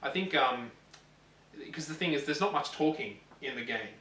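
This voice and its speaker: A man co-hosting a gaming show, chatting casually and giving rambling, animated opinions. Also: medium pitch, moderate pace. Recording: roomy echo, distant mic, faint noise.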